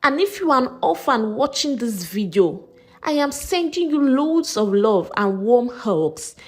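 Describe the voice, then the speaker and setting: A woman's voice: a little high, big pitch swings, a little slow. A woman with a Nigerian accent narrating a folktale expressively as a storyteller. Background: music.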